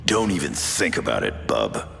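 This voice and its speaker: Rock-bottom growling tough brawler. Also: male voice, extremely low pitch, big pitch swings, normal speed, heavily acted. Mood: gruff defiance. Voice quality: very gravelly growl. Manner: terse, threatening. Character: gruff, tough, battle-hungry brawler hero. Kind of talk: video game character dialogue. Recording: long reverb, faint music.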